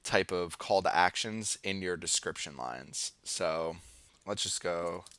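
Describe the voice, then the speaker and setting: A man's voice: deep voice, takes it slowly, a bit bright, clean tone. A man with an American accent presenting an informal screen-share tutorial, thinking aloud as he demonstrates each step. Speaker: online marketing tutorial presenter. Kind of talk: casual screen-share walkthrough, partly improvised.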